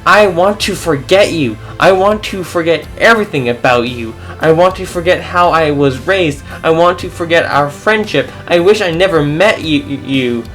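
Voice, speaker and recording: A fan reader voicing a young woman hero in a story reading, earnest and heartbroken, lines pitched up above their own voice. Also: measured pace, a bit bright, faint music behind, close to an everyday voice. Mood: pleading.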